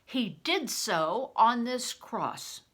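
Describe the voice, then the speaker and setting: A woman's voice: a little high, very animated, very slow, fairly bright tone, pitched above the performer's own voice, heavily acted. An older woman voicing a determined, defiant boy hero in a storybook, with insistent, emphatic declarations. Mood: firm, defiant.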